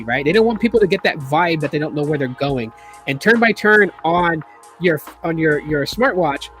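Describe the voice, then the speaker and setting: A man's voice: fairly high pitch, fairly bright tone. A man hosting a casual tech video, talking in a rambling way with many fillers and restarts while he is losing his voice.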